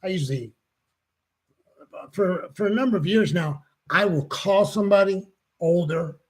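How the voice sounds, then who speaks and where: Older male host, 60s, husky and slightly high voice, rambling halting storyteller. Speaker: show host. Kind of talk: casual chat.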